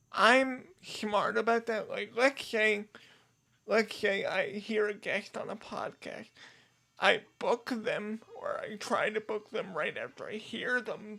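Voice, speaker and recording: Slow-talking man with a creaky voice. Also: sits high, bright, forceful tone. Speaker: podcast guest, pop culture podcaster. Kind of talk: casual chat.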